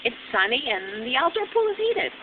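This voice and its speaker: A woman's voice: very animated, moderate pace. Lady doing a little princess kid from a storybook, emphatic, thin phone sound w/ hiss. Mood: bright, casual. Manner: blurting, emphatic. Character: indignant seven-year-old princess girl. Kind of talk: children's storybook reading. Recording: phone-like narrow band, loud hiss and rumble.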